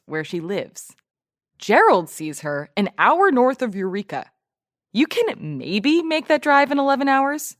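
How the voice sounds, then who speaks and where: A woman's voice: mid-range voice, no echo, studio quality, natural voice. A woman playing a self-important true-crime podcast host, earnest and easily irked, with a polished, dramatic delivery. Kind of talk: scripted true-crime podcast narration and interviewing.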